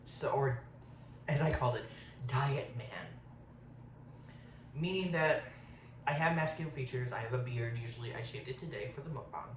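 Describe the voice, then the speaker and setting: A man in his thirties talks casually while eating on camera. He rambles from topic to topic, fills gaps with um, and keeps an everyday middle pitch. He sits back from the mic in an echoey room with a faint hiss. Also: moderate pace, long pauses. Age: 30s. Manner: rambling, says um a lot. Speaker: vlogger. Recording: roomy, distant, faint hiss.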